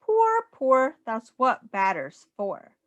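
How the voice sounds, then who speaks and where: A woman's voice: high-pitched, huge pitch swings, slow, no echo, highs cut off. A woman reading a children's picture book aloud in a sing-song way, as a teacher speaking simply to young children.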